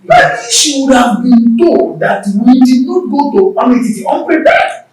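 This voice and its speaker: A woman's voice: high voice, sing-song, a little slow, a little mellow, roomy sound, distant mic, faint music behind. A wise, persuasive royal mother. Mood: earnest. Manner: earnest, persuasive. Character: royal mother, counselling queen, wise and calm.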